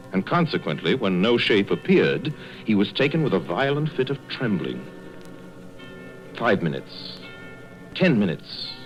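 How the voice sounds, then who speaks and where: A man narrating a vintage radio drama in a measured, wry, literary manner. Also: on the low side, lo-fi sound, natural voice. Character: grave, wry male storybook narrator. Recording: vintage radio broadcast, faint music.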